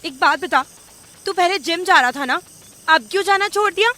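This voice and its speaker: A woman playing a bossy, suspicious, quick-tempered young business partner in an audio drama, indignantly mixing English into Hindi. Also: very high voice, sharp tone. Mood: exasperated.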